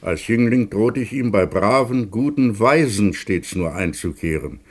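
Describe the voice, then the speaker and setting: Casting: grumpy old blind god of wealth, deep bass, complaining, radio drama. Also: male voice, animated, measured pace. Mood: bitter, indignant. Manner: gruff, curt, complaining. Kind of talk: classic German radio play acting.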